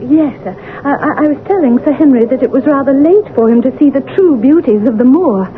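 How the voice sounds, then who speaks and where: A woman's voice: high voice, fairly quick, phone-quality sound, natural voice. An actress playing an anxious, well-mannered young woman, hesitant and earnest, in a radio mystery drama. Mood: polite. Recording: band-limited old radio broadcast with hiss.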